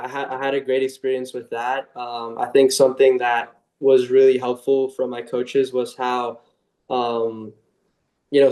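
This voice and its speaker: A late-teen man shares a student testimonial in a fairly flat, mid-pitched voice. He is semi-formal, and the sound is noticeably roomy and echoey. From United States. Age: late teens. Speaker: student guest giving a testimonial.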